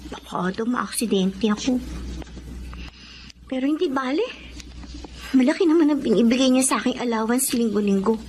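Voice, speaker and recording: Woman acting a high-voiced Filipino teenage girl. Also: normal speed, long pauses, darkish tone, a little hiss or hum, natural voice, poor audio. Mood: grumbling, smug. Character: carefree, headstrong teenage schoolgirl, policeman's daughter. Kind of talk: Filipino radio drama acting.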